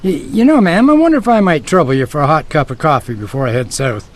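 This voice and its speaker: A man's voice: on the high side, expressive, fast talker. A man playing a courteous, easygoing Mountie sergeant, polite and folksy, in an old-time radio drama. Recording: noisy vintage radio broadcast.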